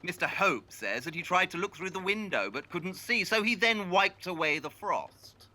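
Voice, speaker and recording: Casting: male actor as clever, superior Victorian detective in a radio play, very bright incisive voice, swooping intonation. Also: sits high, expressive, normal speed, full character voice. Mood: assured. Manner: clipped, superior, deductive.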